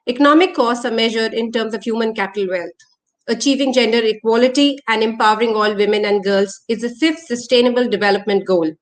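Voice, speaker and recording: A woman moderating a panel discussion, reading a formal introduction and calling on speakers. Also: fairly high pitch.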